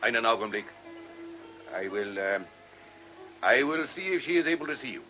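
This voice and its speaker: An actor playing a discreet, stiffly polite Viennese head waiter in a radio play, with an Austrian German accent. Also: male voice, medium pitch, lively intonation, normal speed, sharp tone, poor audio. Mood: polite, guarded. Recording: old radio drama with music behind.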